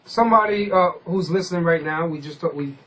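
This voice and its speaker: A man's voice: on the high side, fairly quick, bright, forceful tone, a little hiss or hum, low-quality recording. A man hosting a casual hip-hop radio interview, rambling informally and using slang. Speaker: radio host.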